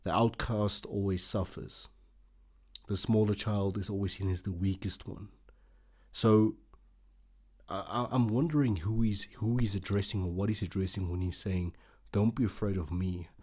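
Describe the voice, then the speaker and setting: A man's voice: fairly low pitch, fairly even intonation, normal speed, darkish tone, slightly rough. A man, an Afrikaans speaker, hosting a casual reaction video and thinking aloud with lots of fillers.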